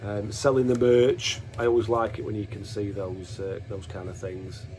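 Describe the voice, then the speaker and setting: A man's voice: on the low side, little pitch movement, normal speed, a little mellow, slight background noise, poor audio. A man giving casual, unscripted show-and-tell commentary as a host, in a hesitant, matter-of-fact way, often correcting himself. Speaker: host of a music collection video.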